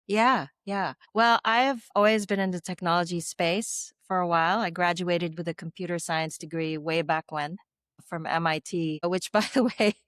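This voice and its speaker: A woman's voice: fairly low pitch, steady tone, moderate pace, a bit bright, dry recording, studio quality. A middle-aged woman from the Philippines, a former tech executive giving articulate, reflective interview answers with the odd light laugh.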